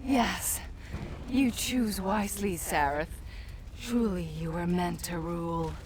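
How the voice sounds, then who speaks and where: An actress voicing a seductive, scornful demoness in a video game, with a sultry, mocking delivery. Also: female voice, fairly low pitch, takes it slowly, noisy background. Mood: sultry, gloating. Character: seductive, scornful demoness devoted to her master.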